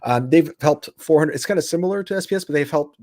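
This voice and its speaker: A man hosting a podcast in casual chat, upbeat and rambling, with many fillers and restarts as he gives shout-outs. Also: on the high side, fast, no room sound, studio quality. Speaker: podcast host.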